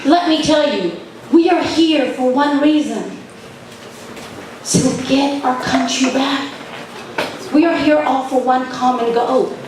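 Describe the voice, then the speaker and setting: A high-pitched woman chairs a political convention, firm and insistent as she calls the room to order. Her voice rings through a heavily echoing hall, picked up from a distance with steady background noise. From Afghanistan. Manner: firm, repeats thank-yous to restore order.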